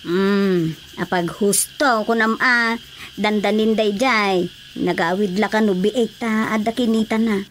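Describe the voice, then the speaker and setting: A woman with an Ilocano accent playing an agreeable, animated villager in a radio drama comedy. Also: mid-range voice, unhurried, close to an everyday voice. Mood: amiable. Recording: faintly noisy radio drama track.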